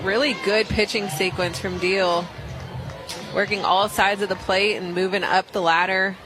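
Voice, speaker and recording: Female softball color commentator amid crowd noise. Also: mid-range voice, fairly even intonation, normal speed, music in the background, rough recording. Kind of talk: casual sports analysis. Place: outdoor softball stadium broadcast position. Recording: outdoor stadium crowd and ambient noise.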